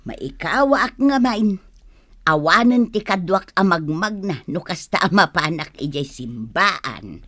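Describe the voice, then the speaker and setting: A woman's voice: on the low side, expressive, measured pace, a bit bright, dry recording, clean studio sound. A woman playing a concerned, talkative village wife in a comedy radio drama. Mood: chatty.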